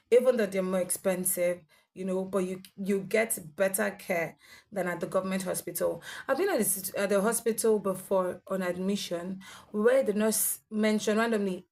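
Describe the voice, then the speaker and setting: A young woman giving earnest, instructive health advice to her viewers. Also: mid-range voice. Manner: earnest, instructive, with fillers and restarts. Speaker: health vlogger with sickle cell. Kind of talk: advice monologue to camera.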